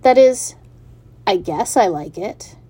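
A woman's voice: medium pitch, animated, slow, dark tone, slightly rough. A woman voicing a young male pig who sounds lonely, wide-eyed and plaintive. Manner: plaintive and questioning.

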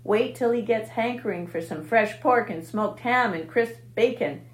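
A woman voicing a gluttonous, sneering male rat with a husky, snarling voice, recorded in an echoey room. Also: fairly high pitch, close to an everyday voice. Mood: taunting. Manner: drawn-out, gloating, snarling.

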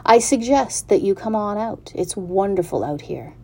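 A woman voicing a chattering female goose who talks fast and repeats her words in quick bursts. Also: medium pitch, lively intonation, gravelly, a little mellow, breathy edge. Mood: eager.

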